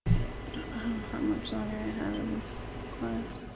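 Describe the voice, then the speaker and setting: Sleepy, creaky-voiced woman streaming a game. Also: mid-range voice, big pitch swings, measured pace. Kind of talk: casual gameplay commentary. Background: game music.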